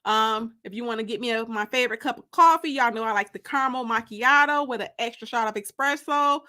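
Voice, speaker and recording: A woman's voice: on the high side, a little fast, pushed and bright. An American woman hosting a casual livestream, chatting with viewers in a playful, teasing, colloquial way. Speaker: livestream host and author.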